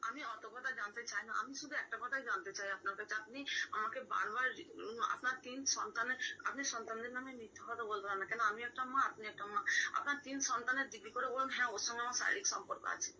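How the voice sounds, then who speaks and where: A woman with a high, sharp, bright voice argues emotionally on a phone call. She pleads insistently and keeps repeating herself, with some noise on the recording. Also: fairly even intonation, brisk. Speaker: caller confronting another woman.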